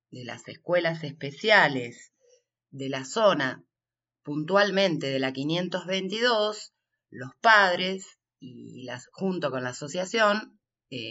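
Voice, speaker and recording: An Argentine woman speaks as an interviewed coordinator, with a bright, clear-edged tone. She takes her time, feeling her way through explanations. The recording is a little dull. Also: sits low. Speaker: workshop coordinator being interviewed. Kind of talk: unscripted interview answers.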